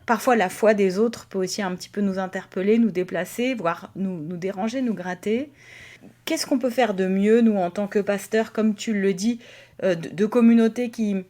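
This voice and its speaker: A woman pastor co-hosting a podcast, speaking in a hesitant way with many fillers and occasional soft laughs. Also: mid-range voice, moderate pace. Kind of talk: casual conversation.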